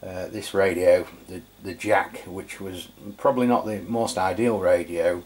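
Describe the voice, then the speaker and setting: A man's voice: low voice, fairly even intonation, measured pace, a little mellow. A man giving an informal, matter-of-fact product review as a channel host.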